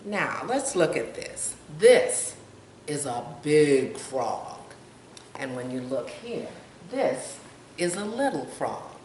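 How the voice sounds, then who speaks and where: An older woman reads a picture book to children in a slow, highly varied voice. She pauses to ask questions and point out pictures. The sound is echoey and a little far from the mic, with faint noise. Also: sing-song, a little mellow. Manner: asks young listeners questions, points out pictures. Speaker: storytime reader.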